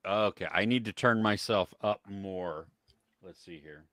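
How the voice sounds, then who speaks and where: A low-pitched American man chatting loosely on a live stream, full of "um"s and quick laughs. The sound is dry and close, with no room around it. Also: a bit bright, no room sound. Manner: chatty, laughs often, many fillers. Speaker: podcast co-host. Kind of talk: casual live-stream chat.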